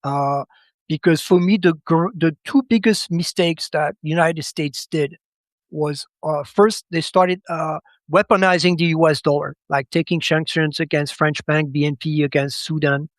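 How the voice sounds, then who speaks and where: A fairly high-voiced man who speaks as a gives his analysis as a podcast guest. He talks haltingly, doubling back on words as he looks for the right phrase. The sound is dry and close. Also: steady tone, no room sound. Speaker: podcast guest, macro commentator. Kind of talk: conversational analysis.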